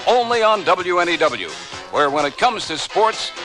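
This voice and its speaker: A man's voice: sits high, animated, normal speed, music in the background. A man reading a scripted radio promo as a sports broadcaster.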